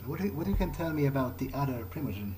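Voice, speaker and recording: A man of middling pitch improvises in character as he plays a game. He speaks politely and haltingly, tripping on words, with clear noisy ambience behind him. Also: expressive. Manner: hesitant, polite, stumbling over words. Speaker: gaming video creator playing in character.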